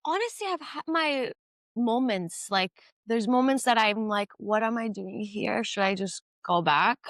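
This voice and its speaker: A young woman from Iran speaks as a guest in a relaxed, reflective chat. She is candid and thoughtful, and her talk is full of ums and restarts. The sound is close and dry, with no room echo. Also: fairly high pitch, measured pace. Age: 20s. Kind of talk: casual conversation.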